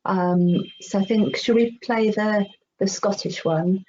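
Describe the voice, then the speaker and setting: A woman's voice: mid-range voice, slow, darkish tone. A middle-aged woman giving an informal online workshop, chatty, hesitant and encouraging. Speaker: workshop presenter. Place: online video call. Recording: dull, noisy online call audio.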